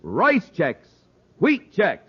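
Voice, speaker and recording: A man's voice: high-pitched, huge pitch swings, very slow, husky, no room sound. A man announcing in scripted 1950s radio style, with emphatic, punchy delivery. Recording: muffled vintage radio with faint noise.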